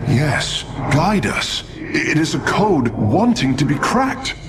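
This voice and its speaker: Alien engineer, precise, eager, lively, game cutscene, noisy backdrop. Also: male voice, a little high, takes it slowly. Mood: curious. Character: curious alien engineer, male, analytical. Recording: game cutscene with background noise.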